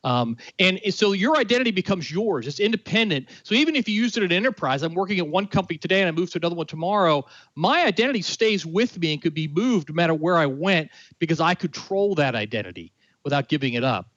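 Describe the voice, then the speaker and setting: A man with a somewhat high voice explains ideas in an earnest way, stumbling through fillers and restarts. His sound is dry and close, with a dull, lo-fi tone. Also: animated, fast talker, fairly bright tone, no echo. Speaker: guest expert. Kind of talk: explanatory conversation.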